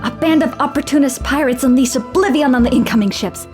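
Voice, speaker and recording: Actress playing young female adventurer, earnest, high voice, animated storybook delivery, game character, music behind. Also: quick pace, close to an everyday voice. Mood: expressive.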